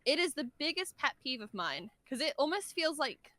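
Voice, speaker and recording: A woman with a fairly high, clearly bright voice talks casually as an interview guest. She is emphatic and opinionated, handing out practical advice. The sound is dry and close on a dynamic mic. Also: big pitch swings, normal speed, no room sound. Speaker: podcast guest, voice actor. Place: large untreated room at home. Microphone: dynamic microphone.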